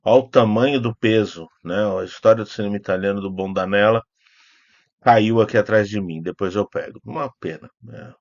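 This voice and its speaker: A man's voice: lowish voice, dry recording, dull audio. A Brazilian man talking casually and unscripted as a video host, in a rambling, hesitant way, often correcting himself. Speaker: YouTube host, film critic. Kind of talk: casual unscripted vlog talk, answering comments.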